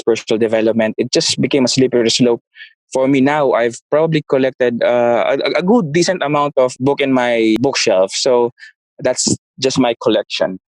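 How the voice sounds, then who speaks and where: A man talks through his answers in a relaxed, conversational way, pausing to search for the right word and restarting phrases. His voice sits at an ordinary middle pitch. The sound is dry and close, with no room echo. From Philippines. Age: 30s. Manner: searches for words, frequent restarts. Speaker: guest, property investor. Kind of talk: casual interview answers.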